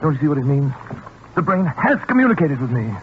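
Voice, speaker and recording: An actor playing a proud, obsessive scientist in 1940s radio style, with clipped, formal, dramatic diction. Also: male voice, fairly high pitch, sing-song, fast, slight background noise. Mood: feverish excitement. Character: obsessive scientist, proud and feverishly intense, narrating his experiment journal. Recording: tinny 1944 radio recording, music behind.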